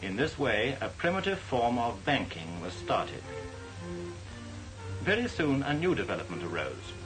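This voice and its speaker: A man giving formal, measured, didactic narration in the style of a 1940s educational film. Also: medium pitch, sing-song, low-quality recording. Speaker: documentary narrator. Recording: vintage 1940s film soundtrack. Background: music.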